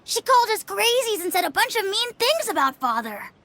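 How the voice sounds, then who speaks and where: An actress voicing an obedient young child in a video game, reporting others' misdeeds with precise, accusing calm. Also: female voice, very high voice, fairly quick, a little hiss or hum, exaggerated voice. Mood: cool, accusing.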